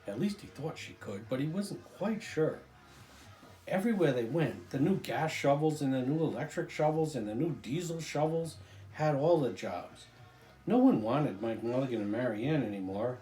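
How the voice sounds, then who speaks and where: An older man reading a children's storybook aloud, drawing out key phrases with deliberate emphasis. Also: medium pitch, animated, dark tone, echoey room, faint music behind, low-quality recording. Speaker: storybook reader, grandfather.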